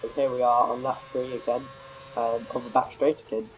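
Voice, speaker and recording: A man's voice: medium pitch, level tone, fairly quick, soft background music, poor audio. A man with a cold and a slightly nasal voice, casually commentating his racing game, rambling and correcting himself. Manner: rambling, self-correcting, many fillers. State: has a cold, a bit nasal. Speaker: gameplay commentator.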